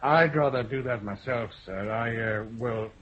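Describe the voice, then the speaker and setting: An actor playing a determined police detective who talks briskly and gives orders. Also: male voice, mid-range voice, fairly bright tone, lo-fi sound, lightly acted. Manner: brisk, commanding.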